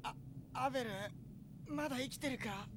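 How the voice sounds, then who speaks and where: A performer playing an earnest, excitable young male knight, blunt and boyish, in a radio drama. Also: sits high, moderate pace, noisy background. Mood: shaken.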